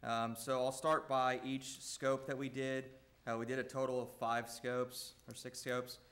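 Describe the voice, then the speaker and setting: A man addresses a school board meeting, walking through construction bid recommendations. His voice is loud and his pitch stays very flat and level. He speaks steadily with plenty of "uh"s between figures. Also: a little slow. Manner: loud, steady, even delivery with frequent "uh". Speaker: construction company representative.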